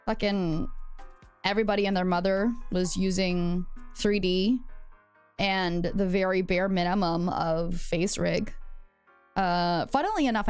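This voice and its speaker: A woman's voice: medium pitch, clean tone. Chatty, foul-mouthed woman streamer. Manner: chatty, swears freely, playful. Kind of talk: casual livestream chat.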